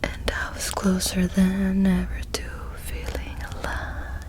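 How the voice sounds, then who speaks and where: A woman doing ASMR, softly singing and whispering song lyrics in a breathy, intimate way. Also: mid-range voice, completely flat delivery, slow. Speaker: ASMR performer.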